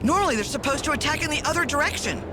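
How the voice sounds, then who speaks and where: A woman playing a brisk, sardonic army officer in a video game, with crisp, dryly sarcastic military delivery. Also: high voice, animated, background noise. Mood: brisk, alert. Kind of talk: scripted video game mission dialogue. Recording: music behind the voice.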